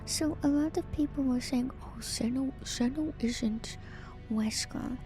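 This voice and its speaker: A woman speaks in a hushed ASMR whisper at a very unhurried pace, with a fairly high voice. She muses as she reads, pausing hesitantly like a streamer thinking aloud. Music plays clearly behind her. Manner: hushed, musing, hesitant pauses. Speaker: streamer reading and discussing game lore.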